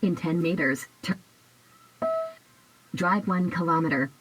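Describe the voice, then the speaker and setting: A woman's voice: fairly low pitch, a little slow. A synthetic woman's navigation voice giving scripted turn-by-turn prompts, played through a device speaker. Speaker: GPS navigation voice. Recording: device speaker with clear background noise.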